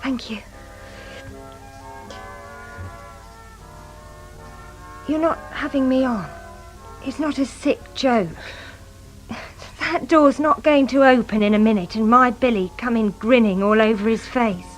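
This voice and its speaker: Actress as tipsy wife of a crook: high, girlish voice, brittle and bitter, radio drama. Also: moderate pace, soft background music, close to an everyday voice, low-quality recording. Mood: shocked. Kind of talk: radio crime drama dialogue.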